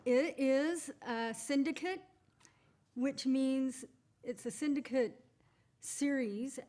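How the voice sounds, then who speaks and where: A middle-aged woman voice actress chatting as a guest in an interview, upbeat and quick to laugh. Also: high-pitched, mellow. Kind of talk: casual interview chat.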